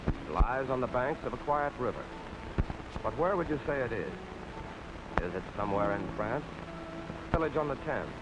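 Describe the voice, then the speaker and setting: A man delivering measured, rhetorical scripted narration in the style of a vintage documentary. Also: medium pitch, animated, very noisy. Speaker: narrator of an old travel film. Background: prominent music.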